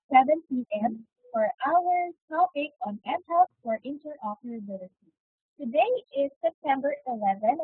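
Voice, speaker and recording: A woman's voice: high-pitched, breathy edge, dry recording. A woman with a Filipino accent hosting an online webinar, making polite and formal announcements with fillers. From Philippines. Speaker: webinar host. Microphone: computer mic on a video call. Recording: tinny call audio, dry.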